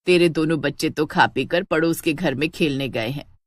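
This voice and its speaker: A woman voicing an elderly, affectionate village mother-in-law in a homely, plain-spoken way for an animated story. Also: low-pitched, normal speed, no echo, pitched below the performer's own voice. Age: older. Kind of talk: animated Hindi storybook acting.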